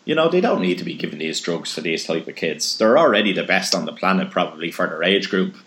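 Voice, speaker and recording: A man's voice: low voice, animated, quick pace, a bit bright. A man hosting a casual podcast interview, chatty and reading news items aloud. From Ireland. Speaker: podcast host. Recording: echoey room.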